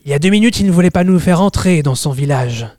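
A man playing a whiny, touchy elf in an improvised fantasy sketch, halting and repeating his words. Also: fairly high pitch, animated, dark tone, no room sound, studio quality, lightly acted. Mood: suspicious. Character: whiny, touchy elf adventurer longing to become a dwarf.

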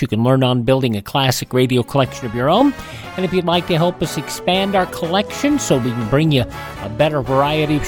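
A man with an American accent hosting a radio show, giving announcements on a close studio mic. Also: medium pitch.

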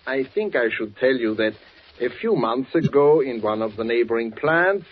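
Man acting smug wartime official, swooping pitch. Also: animated, close to an everyday voice. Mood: veiled menace. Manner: formal, insinuating, deliberate with small hesitations. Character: smug, menacing Nazi German munitions official. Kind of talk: 1940s radio drama acting. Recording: dull, faintly noisy 1940s radio recording.

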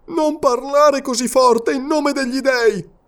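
A man's voice: extremely high pitch, a little slow, a little mellow, voice pushed up. An Italian man narrating an audiobook and voicing a deformed giant servant, loyal and bloodthirsty, who stammers frantically. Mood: anxious.

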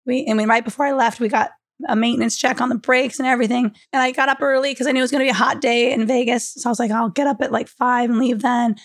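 A woman with a cold-worn, hoarse voice tells a story to her co-hosts. Her pitch sits a little high, and the sound is dry and close with no room around it. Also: steady tone, fast talker, no room sound. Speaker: podcast co-host. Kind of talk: casual chat, storytelling.

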